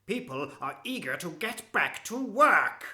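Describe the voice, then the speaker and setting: A woman's voice: lowish voice, big pitch swings, measured pace, bright, forceful tone, full character voice. A woman playing a stern, officious town Speaker in a family audio drama, with a commanding, precise manner. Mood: stern, demanding. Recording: echoey room.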